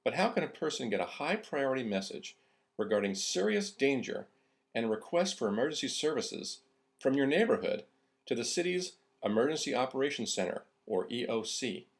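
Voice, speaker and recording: Male narrator, scripted instructional read, medium pitch, very varied intonation. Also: lively intonation, moderate pace.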